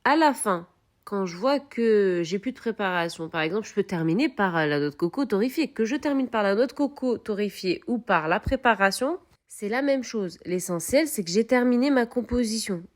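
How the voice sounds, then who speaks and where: A woman's voice: medium pitch, normal speed. Chatty, emphatic woman cooking on camera. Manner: expressive, repeats words for emphasis, coaxing viewers. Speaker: home cooking vlogger.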